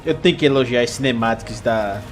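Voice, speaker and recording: A Brazilian man giving casual, expressive, exclamatory commentary as he reacts to a video game. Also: medium pitch, rapid-fire. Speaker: gaming video host. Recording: game music behind.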